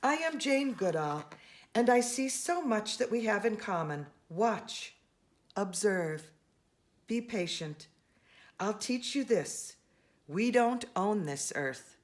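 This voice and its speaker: A woman reads a children's picture book aloud. Her delivery is unhurried and highly animated in pitch, at a middling register, with deliberate, dramatic stress on important words. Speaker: storybook reader.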